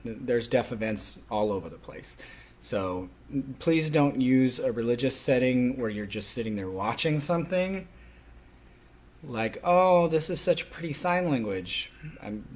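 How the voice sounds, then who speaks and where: A man speaking as a college instructor, explaining course rules to a class in an instructional way with frequent short pauses. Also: medium pitch, a little mellow. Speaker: college sign language instructor.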